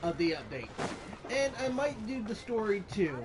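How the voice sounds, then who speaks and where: High-pitched man doing casual gameplay commentary. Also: sing-song, moderate pace, background noise. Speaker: gamer, channel host.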